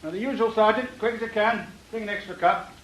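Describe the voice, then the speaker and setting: An actor playing an affable, dry middle-aged police inspector in a conversational radio drama style. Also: male voice, sits high, fast talker, lo-fi sound, noisy background, close to an everyday voice. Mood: genial bustle.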